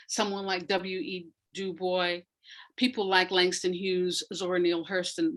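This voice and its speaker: An older woman speaking reflectively as an interview guest, in a measured, deliberate way with emphasis on key words. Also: lowish voice, fairly bright tone. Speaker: guest, playwright and retired academic.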